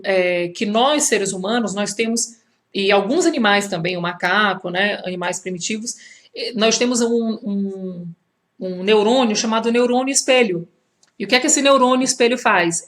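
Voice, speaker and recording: A woman in her thirties from Fortaleza with a Cearense Brazilian accent, talking in a conversational teaching style, asking rhetorical questions and restarting her phrases. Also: fairly high pitch. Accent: Cearense Brazilian Portuguese. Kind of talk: teaching monologue to camera.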